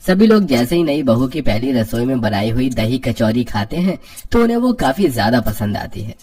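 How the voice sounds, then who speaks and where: A man's voice: mid-range voice, big pitch swings, normal speed, darkish tone, slightly rough, soft background music. A man narrating a scripted cartoon story as the storyteller.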